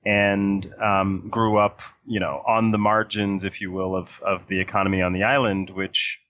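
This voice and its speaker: A man from Puerto Rico answering interview questions in a measured way, with many fillers. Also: lowish voice, little pitch movement, fairly bright tone, highs cut off, a little hiss or hum. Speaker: guest.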